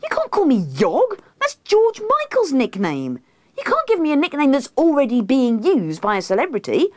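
A woman's voice: fairly high pitch, huge pitch swings, normal speed, a little mellow, no echo, clean studio sound, pitched above the performer's own voice, heavily acted. A woman voicing a needy, insistent wife, pleading and indignant, in a comic book reading.

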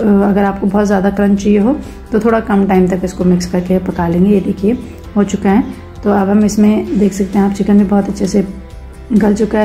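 A woman narrates her home cooking video step by step, switching easily between plain talk and English kitchen words. Her voice is mellow and keeps to a narrow, even melody. Light music runs faintly underneath. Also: mid-range voice. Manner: step-by-step instructive, mixing English cooking terms into Hindi. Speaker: cooking channel host. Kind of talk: casual recipe voice-over.

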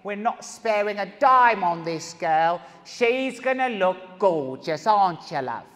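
A man's voice: high voice, expressive, unhurried, fairly bright tone, pitched below the performer's own voice. A male comedian voicing a chatty woman hairstylist who keeps calling people love, on stage in a large hall. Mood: chirpy. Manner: fussy, calls everyone love. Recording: stand-up in a big hall, mic.

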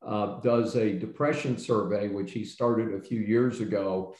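A man's voice: lowish voice, fairly even intonation, unhurried, darkish tone, echoey room. An older man hosting a casual panel discussion over a video call from his home study, rambling with many fillers and restarts. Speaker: host. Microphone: video call webcam.

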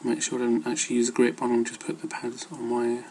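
A man's voice: medium pitch, completely flat delivery, fast, warm tone, a little hiss or hum. A man giving casual, rambling advice as an amateur fitness vlogger, hesitant and loosely worded.

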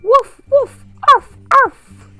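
A woman voicing a small playful puppy with high, excited woofs and arfs. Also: very slow, dry recording, faint music behind.

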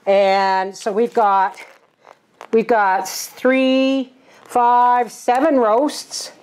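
A woman's voice: fairly high pitch, level tone, drawn-out words, darkish tone. An older woman, giving a casual, plain-spoken, folksy vlog narration in her home kitchen. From Canada. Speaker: homesteading vlogger and host.

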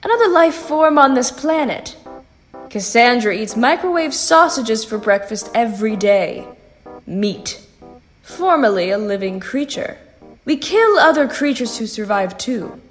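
Woman playing a high, lively, persuasive phantom. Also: soft background music. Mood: calm, persuasive. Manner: measured, reasoning, pointed. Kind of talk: sci-fi audio drama acting.

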